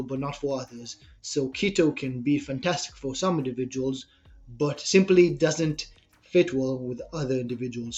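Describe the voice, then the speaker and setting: A man's voice: mid-range voice, a little mellow, lo-fi sound. A young man with an Israeli accent, a personal trainer answering podcast interview questions in an earnest, explanatory way.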